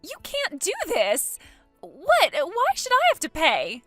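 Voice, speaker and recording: A woman voicing a shrill, entitled young woman who is spoiled and indignant. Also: wildly swooping pitch, takes it slowly, pushed and bright, no echo, soft background music, exaggerated voice. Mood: outraged. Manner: shrill, outraged, whiny.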